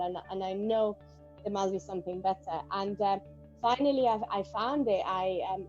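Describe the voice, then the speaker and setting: A woman's voice: mid-range voice, a little mellow, rough recording. A woman from Ecuador, a guest in a casual conversation, telling a long story earnestly with many "like"s and "you know"s. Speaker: cacao seller, guest.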